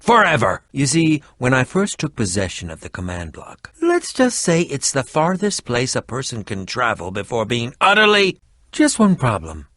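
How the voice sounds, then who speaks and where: An actor playing an eccentric middle-aged schemer in a video game, with a dramatic, haughty and defensive manner. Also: male voice, medium pitch, huge pitch swings, normal speed, fairly bright tone, dry recording, clean studio sound. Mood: scheming, explanatory. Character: eccentric theatrical middle-aged male potion-brewing schemer. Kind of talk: video game character dialogue.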